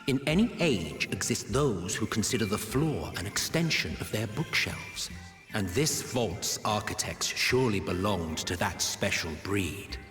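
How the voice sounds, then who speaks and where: A world-weary, sardonic male villain narrates a game cutscene with grandiose, deliberate theatricality. His voice sits on the low side and rings out in a long, echoey reverb, with music playing behind him. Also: animated, fairly bright tone. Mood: wry, musing.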